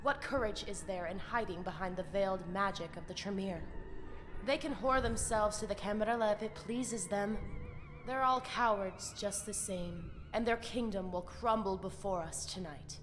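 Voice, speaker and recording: An actress playing a proud, scornful, bloodthirsty vampire warrior in a video game, with haughty, declamatory delivery. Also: female voice, medium pitch, normal speed, lightly acted. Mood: scornful, defiant. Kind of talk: dramatic video game acting. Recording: long hall reverb, music underneath. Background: music.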